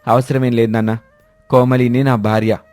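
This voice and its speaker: A man voicing an earnest young son, pleading yet firm, in an animated moral story. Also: medium pitch, moderate pace, soft background music, lightly acted. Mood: defiant. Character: earnest young son standing by his love.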